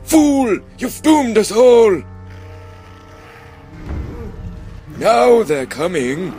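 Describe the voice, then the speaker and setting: A man's voice: high-pitched, very animated, slow pace, dark tone, soft background music, heavily acted. A man playing an eccentric, pompous old wizard in a comedic fantasy radio play, grand and theatrical in his delivery. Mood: alarmed, commanding.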